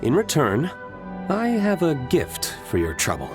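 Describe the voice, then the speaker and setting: An actor playing an aloof, self-assured young gentleman vigilante in a video game, speaking in a composed, formal, matter-of-fact way. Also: fairly high pitch, wildly swooping pitch, unhurried, over music. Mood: courteous, calm. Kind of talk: video game character acting.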